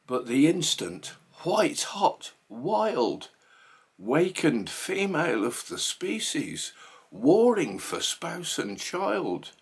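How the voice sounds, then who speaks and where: Male poetry reader, husky, theatrical and emphatic, slow delivery with hugely varied pitch. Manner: theatrical, emphatic, deliberate phrasing. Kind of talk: dramatic poetry recitation.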